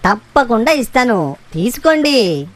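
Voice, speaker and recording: A woman voicing a clever, friendly monkey in a children's cartoon, in an expressive manner. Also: on the high side, a little slow. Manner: animated, expressive.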